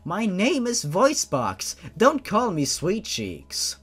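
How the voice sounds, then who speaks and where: A man's voice: high-pitched, huge pitch swings, slow pace, a bit bright, slightly rough, pitched above the performer's own voice, heavily acted. A man voicing a defiant young woman, a blunt and guarded heroine in a story reading. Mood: irritated.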